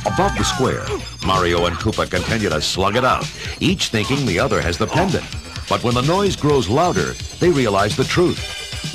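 A man narrating an adventure story in a measured, dramatic scripted style. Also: fairly low pitch. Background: dramatic music.

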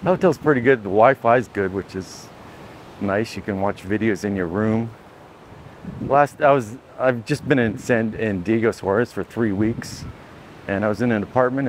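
A man's voice: on the low side, a little hiss or hum. Rambling man vlogging on a beach walk. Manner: rambling, relaxed, self-correcting. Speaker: travel vlogger. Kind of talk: casual walking vlog. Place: outdoors by a beach and dirt roads. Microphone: handheld camera, filming first-person.

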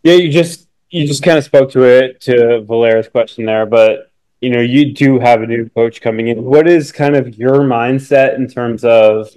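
Hesitant male reporter, mellow tone. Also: mid-range voice, moderate pace, low-quality recording. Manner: rambling, hesitant phrasing. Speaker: sports reporter. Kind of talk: press conference question.

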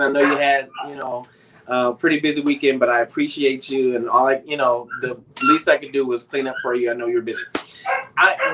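An American man in his thirties telling a casual story expressively, with emphatic repetitions, as a guest on a video call. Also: on the high side. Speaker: interview guest, cleaning company owner. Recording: noisy video call with clicks.

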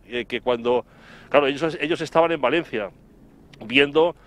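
A middle-aged man with an accent from Spain, reporting live outdoors in a wordy, halting way, repeating words as he recalls things. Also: mid-range voice, fairly bright tone. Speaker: television reporter. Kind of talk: live report, spoken recollection. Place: outdoors in front of an office building. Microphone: handheld microphone, through a face mask.

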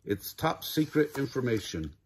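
A man's voice: medium pitch, sing-song, normal speed, slight background noise, pitched above the performer's own voice, natural voice. A man voicing a confident, proud young boy with schemes, breezy and sure, in a children's storybook.

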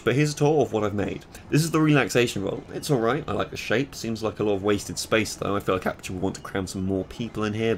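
Quick, wry British teen guy. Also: on the low side, darkish tone. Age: late teens. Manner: sarcastic, quick and self-mocking. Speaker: game mod creator. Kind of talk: casual devlog narration. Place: small bedroom with purple light.

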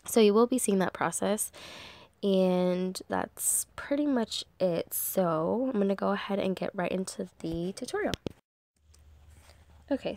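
A woman's voice: mid-range voice, darkish tone, no echo. Stuffy-nosed young woman crafting vlogger. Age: young adult. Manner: chatty, rambling, many ums. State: congested, stuffy from a cold. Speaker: crafting channel host. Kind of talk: voice-over craft tutorial.

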